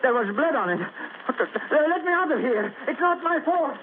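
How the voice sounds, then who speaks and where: A man's voice: extremely high pitch, very animated, a little slow, exaggerated voice. An actor playing a cowardly, stammering henchman who whines in panic, in old radio drama style. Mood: panicked. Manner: stammering, whining. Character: panicky, cowardly male henchman protesting innocence. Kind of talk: 1940s radio acting. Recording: noisy, narrow-band vintage radio recording.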